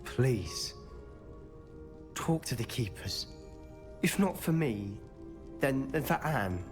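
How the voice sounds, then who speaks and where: A man's voice: mid-range voice, lively intonation, takes it slowly, over music. A man playing a defiant, urgent teenage schoolboy with a British accent in a video game. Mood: pleading. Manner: urgent, insistent, persuasive. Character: driven, defiant teenage schoolboy desperate to help Anne. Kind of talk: dramatic video game acting.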